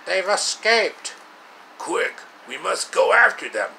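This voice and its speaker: A gloating, villainous storybook queen, voiced by a man, gives orders in an imperious tone. The delivery is very deliberate and slow, with a bright edge. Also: high-pitched, big pitch swings, gravelly, a little hiss or hum, pitched above the performer's own voice, heavily acted. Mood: alarmed, commanding.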